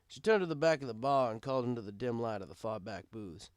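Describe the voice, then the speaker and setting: A man's voice: medium pitch, expressive, fast, clean tone, no room sound, clean studio sound. A man reading a first-person story as scripted narration.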